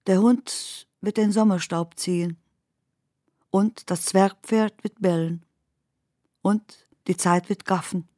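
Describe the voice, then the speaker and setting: An actress narrating surreal, dreamlike memories in a poetic radio drama, with measured and deliberate phrasing. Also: female voice, mid-range voice, steady tone, a little mellow, no echo, studio quality, close to an everyday voice. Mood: measured, incantatory.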